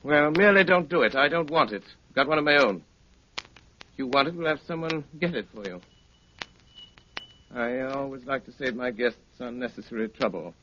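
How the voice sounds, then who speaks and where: An actor playing a cool, sardonic, commanding gentleman detective in a 1930s radio drama, speaking with clipped, dryly polite authority. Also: mid-range voice, animated, moderate pace, pauses often. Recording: muffled, noisy 1930s radio recording.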